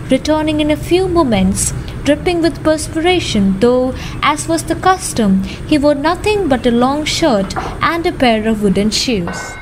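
A young woman reading scripted narration for an amateur radio drama. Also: high voice. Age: young adult. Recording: clearly noisy, ambient sound behind.

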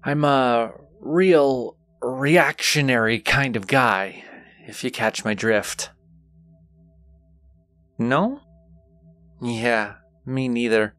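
A flirtatious male demon , acted by a man, drawls very slowly in a medium-pitched voice. He is teasing, seductive and gleefully wicked, speaking straight to the listener in an intimate audio roleplay. The sound is close and dry. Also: a bit bright, dry recording. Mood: flirtatious, playful. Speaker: voice actor.

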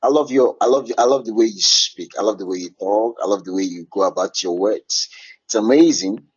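A man with a foreign accent speaking haltingly with many fillers on an online voice call. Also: fairly low pitch, husky, highs cut off. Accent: foreign English. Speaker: caller.

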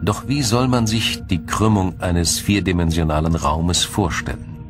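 A man reading scripted documentary narration in a measured, explanatory way. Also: deep voice. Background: music.

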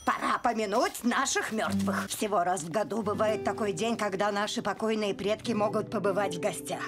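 A woman dubbing a firm family grandmother in an animated film. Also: medium pitch, normal speed, close to an everyday voice. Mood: solemn. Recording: dub clip with film music.

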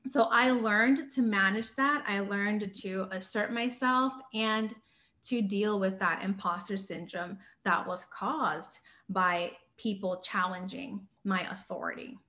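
A woman's voice: medium pitch, steady tone, slow pace. A young woman hosting a podcast interview over a video call, speaking in an articulate, reflective way. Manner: articulate, reflective, warm encouraging. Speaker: podcast host. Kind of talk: conversational interview. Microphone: webcam on video call.

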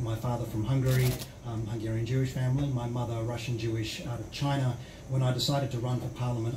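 A measured man speaks as a panel candidate, stressing his points deliberately. He is picked up from a distance in an echoey venue with clear noise behind him. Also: medium pitch. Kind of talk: forum answer. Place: small venue stage, seated panel. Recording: echoey room, distant mic, background noise.

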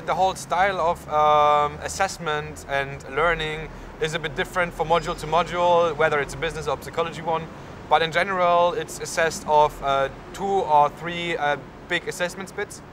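A man's voice: a little high, steady tone, normal speed. A young man with a German accent, a university student answering interview questions outdoors in a town square, with many fillers. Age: young adult. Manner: lots of fillers, gestures while talking. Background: faint street and traffic noise.

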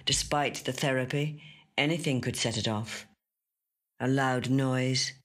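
A woman narrates a literary story. She reads slowly and deliberately, giving weight to each phrase in a dramatic, measured way. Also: a bass voice, fairly bright tone. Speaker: actor reading a short story. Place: recording studio.

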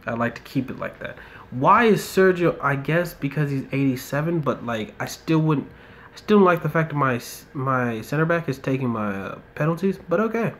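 A man's voice: a little high, animated, moderate pace, a bit bright. Laid-back young man ranting over gameplay. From United States. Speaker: mobile football gamer. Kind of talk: relaxed gameplay commentary. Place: indoor room.

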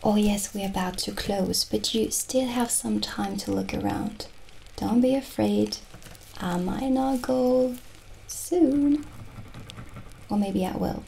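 Slow, soft-spoken witch shopkeeper, acted by a woman. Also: medium pitch, a little hiss or hum, low-quality recording. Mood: friendly, unhurried. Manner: soft-spoken, soothing, lapsing into breathy near-whispers. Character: gentle, mystical witch shopkeeper selling potions. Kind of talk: improvised ASMR roleplay. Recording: soft background music. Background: soft music.

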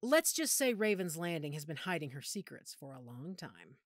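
A woman playing a knowledgeable werewolf pack member in an audio drama, speaking in a measured, authoritative, explanatory way. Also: deep voice, very animated, dry recording, studio quality. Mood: informative, assured. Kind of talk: audio roleplay drama acting.

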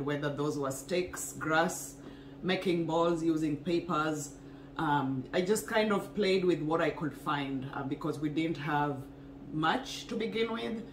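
A woman in her thirties from Kenya, earnestly telling a personal story to camera in a home kitchen. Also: sits low. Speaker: co-host, wellness couple. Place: home kitchen, indoors, small room. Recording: echoey room, faint noise.

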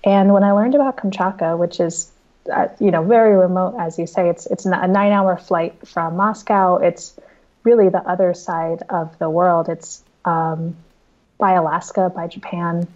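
A woman's voice: on the low side, fairly even intonation, normal speed, darkish tone, dull audio. A woman in her thirties, an author answering reader questions from her home over a video call, thoughtful and often restarting her sentences. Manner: thoughtful, with frequent restarts and repeated words. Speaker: author guest. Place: home room with bookshelves. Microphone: video call with earbuds.

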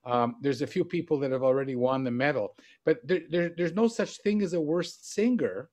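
A man's voice: on the high side, moderate pace, a little mellow. Teasing middle-aged male host. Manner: teasing and encouraging, gestures while speaking. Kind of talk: casual video call interview. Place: home room with bookshelves. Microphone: video call webcam.

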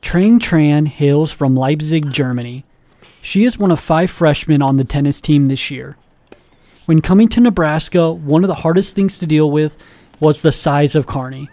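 Mellow-voiced student news reporter. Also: male voice, fairly high pitch, normal speed. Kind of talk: scripted news narration.

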